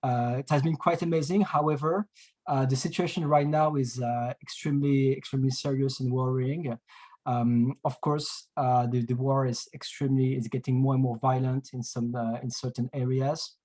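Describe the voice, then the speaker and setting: A man from France with a French accent, hosting an online webinar in a halting way, full of fillers and restarts, heard over a video call. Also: medium pitch, fairly even intonation, darkish tone. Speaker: webinar host.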